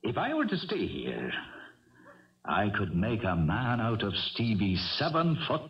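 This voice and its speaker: Wildly animated horse trainer. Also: male voice, fairly low pitch, measured pace, distant mic, full character voice. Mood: earnest, persuasive. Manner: folksy, stammering, exclamatory. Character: small, excitable old-school horse trainer, dreamer, sweet-talking schemer. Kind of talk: 1970s radio drama acting. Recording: dull vintage radio recording, off-mic, background clamour.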